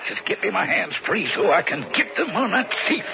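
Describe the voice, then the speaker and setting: A vengeful older rancher with a Western American drawl in a radio western. He is commanding and gruff, with a high-pitched, gravelly voice. The recording is an old, noisy broadcast. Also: sing-song, a little fast, muffled recording, full character voice. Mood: grimly determined. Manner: gruff, commanding, folksy frontier talk. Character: hard, vengeful older male rancher and father. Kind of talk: 1970s radio drama acting.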